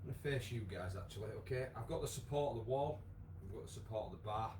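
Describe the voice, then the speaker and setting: A man with a croaky voice, leading a live online exercise class with many fillers. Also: mid-range voice, quick pace, noisy background. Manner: chatty, encouraging, lots of fillers. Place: home room with wall and bar. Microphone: device camera, moves away at times.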